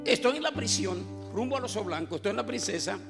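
A man's voice: high voice, lively intonation, fairly quick, creaky. A middle-aged man, preaching a joking testimony sermon and mixing in English, over guitar music. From Puerto Rico. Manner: joking, storytelling, mixes in English. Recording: guitar music playing behind.

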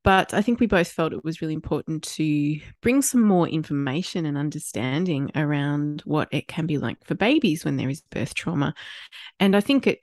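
Aussie woman w a bit of a cold, husky and nasal, lowish voice, dry close sound. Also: no room sound. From Australia. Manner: warm, chatty, laughs often. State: congested from a cold. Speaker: podcast co-host. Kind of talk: casual chat.

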